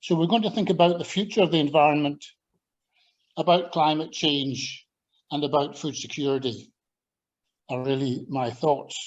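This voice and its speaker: An older man from Scotland with a Scottish accent, giving a measured, thoughtful talk to a church audience, often pausing with ums and restarting sentences. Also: a little high, lo-fi sound. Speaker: church talk speaker, former agriculture lecturer. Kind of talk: presenting a talk with slides.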